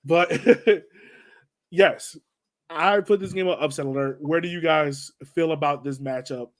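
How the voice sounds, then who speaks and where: A man with a slightly high voice hosts a casual sports chat. He talks loosely and informally, with everyday slang. The sound is very dry, with little room in it. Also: a little slow, no room sound. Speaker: podcast host.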